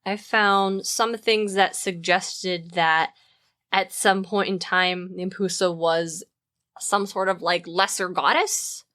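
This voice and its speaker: A woman's voice: on the low side, fairly even intonation, takes it slowly, fairly bright tone, no echo, studio quality. A woman co-hosting a podcast, chatting casually and informally about mythology as she thinks aloud. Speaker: podcast co-host.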